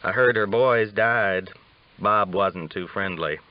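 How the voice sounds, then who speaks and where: A man's voice: lowish voice, slow, muffled recording. A man voicing an evasive, grumbling cowboy drifter with casual rustic speech. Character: evasive, grumbling cowboy drifter, male, self-styled young.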